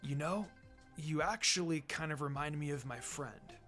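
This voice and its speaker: A man's voice: a little high, moderate pace, a bit bright, faint music behind, pitched below the performer's own voice, lightly acted. A man voicing a hot-headed, worried teenage ninja boy in a story reading, with informal, earnest delivery.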